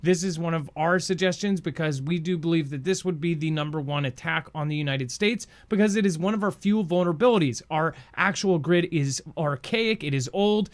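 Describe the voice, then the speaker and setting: A man's voice: fairly high pitch, brisk, few pauses. A man hosting a news show with conversational commentary, speaking informally and straight to his viewers. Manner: informal, addresses viewers directly.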